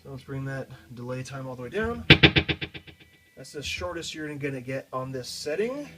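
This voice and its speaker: A man with a medium-pitched voice walks through a piece of guitar gear like a teacher. His delivery is casual and explanatory, with a halting flow. Faint guitar music sits behind him. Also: sing-song, fairly bright tone, poor audio. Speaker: guitar teacher demonstrating an effects pedal. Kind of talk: casual product demo.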